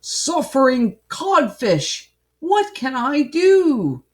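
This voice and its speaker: A woman voicing a blustering, indignant old male sea captain in a storybook, with booming exclamations. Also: big pitch swings, very slow, a little mellow, exaggerated voice. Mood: exasperated.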